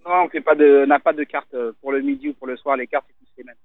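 A man from Italy, a restaurant owner, talking casually on the phone in an interview, relaxed and hesitant with many fillers. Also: medium pitch, quick pace, no room sound. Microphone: telephone. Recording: tinny phone line.

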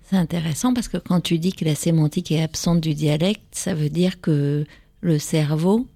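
Woman coach and co-host. Conversational, creaky, a little low-pitched. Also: fairly even intonation, moderate pace, mellow, no echo.

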